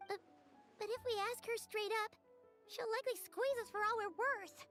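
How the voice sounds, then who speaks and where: A woman's voice: extremely high pitch, normal speed, fairly bright tone. A woman voicing a small, perky fairy companion girl who talks about herself in the third person and schemes cheerfully. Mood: scheming. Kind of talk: video game voice acting. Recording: faint game music behind.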